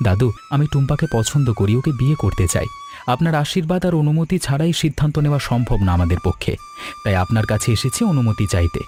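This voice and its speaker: A man voicing a sincere, courteous young suitor in an animated story, speaking earnestly and politely. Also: on the high side, fast talker, soft background music. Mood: respectful, grateful. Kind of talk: Bengali animated story dialogue.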